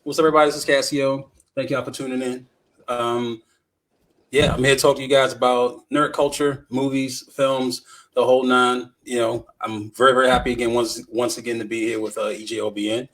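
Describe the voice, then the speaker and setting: A man's voice: medium pitch, flat delivery, fairly quick, a bit bright, rough recording. A man with an American accent chatting informally and agreeably as a podcast co-host. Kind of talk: casual podcast chat.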